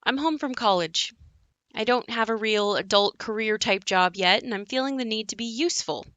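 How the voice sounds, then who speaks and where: Bright-voiced wry young woman played by an actress. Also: a little high, moderate pace, dry recording, natural voice. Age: young adult. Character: wry young woman recounting her haunted house. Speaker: narrator character in an audio play. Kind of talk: scripted dramatic monologue.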